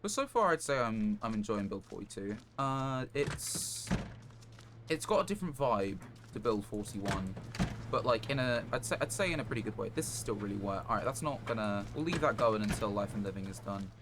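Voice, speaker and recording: Man, game streamer, lowish voice, casual running commentary, understated rambling delivery, faint background noise. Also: animated, normal speed. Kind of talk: live gameplay commentary, casual thinking aloud. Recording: clear background noise, clicks.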